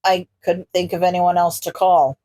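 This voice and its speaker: A woman voicing a gruff, weary male hero in an audiobook, with a curt, sweary, grumbling way of talking. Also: lowish voice, little pitch movement, moderate pace, dark tone, dry recording, studio quality, voice pushed down, lightly acted. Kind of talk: audiobook character voice.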